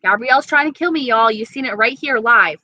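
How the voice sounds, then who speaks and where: A woman's voice: on the high side, a little fast, pushed and bright, dry recording, lo-fi sound. A young woman with an East Coast accent chatting casually on a live stream, reading viewer comments and swearing freely. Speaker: beauty vlogger.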